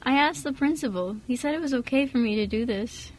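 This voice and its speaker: A woman's voice: sits high, a little fast, rough recording. A woman playing a modest, kind, easygoing young boy in an amateur radio play. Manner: gentle, unbothered.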